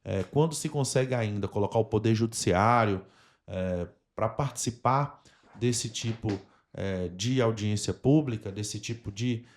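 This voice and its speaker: A Brazilian man hosts a conversational podcast. His voice is a little on the low side. He explains at length, using formal, legalistic vocabulary within an interview setting. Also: unhurried. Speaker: podcast host.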